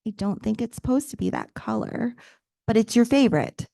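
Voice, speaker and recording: A woman plays a flustered young poet, rueful and deflated, in a storybook reading. Her voice has a gravelly, mellow warmth. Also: a little high, animated, normal speed, dry recording, clean studio sound.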